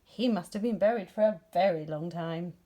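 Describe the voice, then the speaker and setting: A woman voicing a gentle, curious female bear in a children's storybook, naive and cautious. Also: fairly low pitch, normal speed, close to an everyday voice. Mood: impressed.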